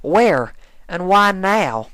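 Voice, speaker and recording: Man doing a blunt, folksy country mare, pitched up. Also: big pitch swings, extremely slow, a bit bright, dry recording, studio quality, exaggerated voice. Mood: wary, demanding. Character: blunt, stout-hearted country female pony.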